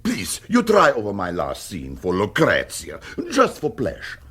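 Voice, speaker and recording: A sociable, courtly Italian in a radio comedy drama. His melody leaps up and down in an unhurried, slightly rough voice. His accent is Italian and his English is eager but halting. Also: fairly low pitch, huge pitch swings, a bit bright, exaggerated voice. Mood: effusive, courtly. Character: exuberant, talkative Italian man, a sociable dinner guest.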